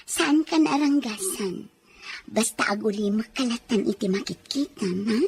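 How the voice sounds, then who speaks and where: Woman acting high-voiced, cruel, haughty Filipino mistress. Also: expressive, a little slow, slightly rough, exaggerated voice. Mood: menacing. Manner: domineering, scolding. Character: cruel, haughty, short-tempered rich wife, the villain. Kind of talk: melodramatic audio drama acting. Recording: music behind the voice.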